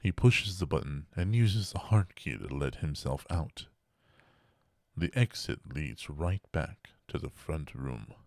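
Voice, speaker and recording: A man playing a solemn, ominous storyteller, narrating a scripted comedy horror audio drama in a deliberate manner. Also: extremely low pitch, expressive, gravelly, darkish tone, dry recording, studio quality, exaggerated voice. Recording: noticeable background noise.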